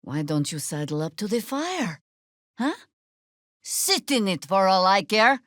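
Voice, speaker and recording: An actress voicing a stern, wry veteran druid woman in a fantasy video game, with a dry, sardonic, measured delivery. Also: mid-range voice, big pitch swings, a bit bright, dry recording. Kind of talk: fantasy game character dialogue.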